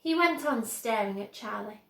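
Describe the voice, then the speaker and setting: A woman reading a children's story aloud as narrator, in a measured, careful storytelling manner. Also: on the high side, animated, vocal fry, a bit bright, roomy sound.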